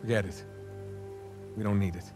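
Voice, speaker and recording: Weary, wry, lower-voiced adventurer played by a man. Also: steady tone, normal speed, music in the background, close to an everyday voice. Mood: urgent, businesslike. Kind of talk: naturalistic cinematic game acting.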